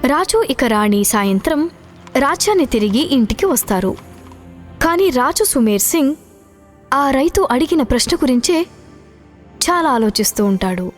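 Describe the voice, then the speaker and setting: A woman's voice: sits high, big pitch swings, normal speed, long pauses. A woman narrating a scripted children's story, with music behind her. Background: background music.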